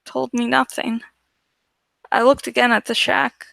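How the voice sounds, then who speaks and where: Female audiobook narrator: higher-pitched, gravelly edges, deliberate dramatic delivery of first-person fiction. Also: level tone, fairly bright tone, no room sound. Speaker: audiobook reader.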